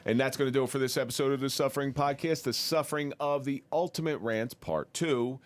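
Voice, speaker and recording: A man with a New Jersey accent hosts a casual podcast, loose and profane. He jokes and laughs easily with his guests. His pitch sits in the middle of the male range. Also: fairly even intonation, a little fast. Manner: swearing, laughing, joking outro. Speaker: podcast host.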